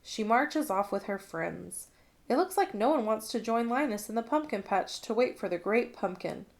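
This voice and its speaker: A woman's voice: a little high, animated, moderate pace. Woman reading a children's storybook aloud. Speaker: storybook reader. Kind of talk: expressive children's read-along narration.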